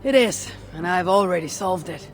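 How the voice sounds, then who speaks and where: A woman's voice: fairly low pitch, animated, moderate pace, natural voice. A proud Viking shieldmaiden, played by a woman, talks with a Scandinavian accent. She is terse and blunt, with dry scorn, as in a video game adventure scene. There is some music behind her voice. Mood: scornful, dry. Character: proud, curt Viking woman warrior and clan protector. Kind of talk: video game cutscene acting.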